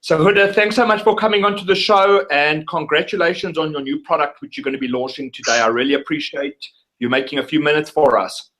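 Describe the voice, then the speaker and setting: A man's voice: a little high, steady tone, fast talker, a bit bright. Upbeat man hosting. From South Africa. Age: 30s. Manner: upbeat, enthusiastic, some stumbles. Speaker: interview host. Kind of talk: casual interview chat.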